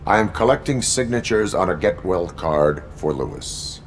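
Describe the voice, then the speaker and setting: A man voicing a stoic cyborg police officer in a video game, with stiff, formal, deadpan delivery. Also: deep voice, moderate pace, a little hiss or hum. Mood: flat, dutiful.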